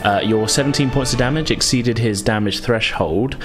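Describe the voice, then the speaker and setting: A man's voice: medium pitch, normal speed. A man narrating a tabletop role-playing game as game master. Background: background music.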